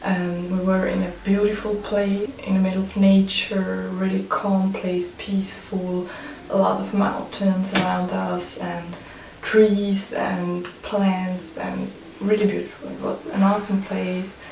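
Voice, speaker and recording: A woman's voice: medium pitch, flat delivery, measured pace, vocal fry, few pauses. A Swiss woman speaking non-native English with a Swiss accent in a hesitant, casual personal vlog manner. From Switzerland. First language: German and French. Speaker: photographer. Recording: roomy, distant mic, faint noise.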